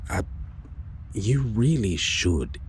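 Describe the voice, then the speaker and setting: A man playing a suave, teasing vampire lover in an intimate dark fantasy audio roleplay, theatrical and fond of endearments. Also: on the low side, very animated, slight background noise, heavily acted. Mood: soothing, wry. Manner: theatrical, teasing, endearments, soft chuckles, hesitant pauses. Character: suave teasing male vampire, former hunter, long-lost lover.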